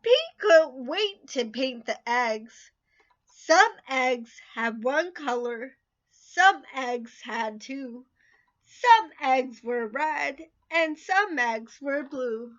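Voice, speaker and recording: Slow, bright-voiced woman reading aloud. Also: sits high, expressive, lo-fi sound. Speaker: storybook reader. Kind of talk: children's picture book read-aloud.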